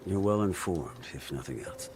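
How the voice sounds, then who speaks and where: An actor playing a grim, terse and solemn mercenary leader in a video game, weighed down by remorse. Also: male voice, low-pitched, expressive, normal speed, vocal fry, over music. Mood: grim, curt. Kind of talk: dramatic video game acting.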